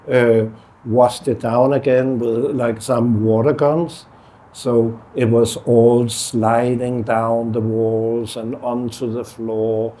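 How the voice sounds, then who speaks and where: A middle-aged man, speaking as an artist in a relaxed conversation and pausing often to search for words. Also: mid-range voice, a little hiss or hum. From Denmark. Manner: halting, searching for words with many fillers. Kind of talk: casual conversation.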